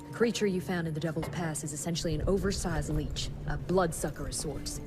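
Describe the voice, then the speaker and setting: A woman's voice: sits low, a little fast, close to an everyday voice. An actress playing a proud, formal woman scientist in a video game, speaking in a clipped and coldly polite way. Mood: coolly explanatory. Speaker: doctor and head of an agency cell. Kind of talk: video game cutscene acting. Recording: music behind the voice.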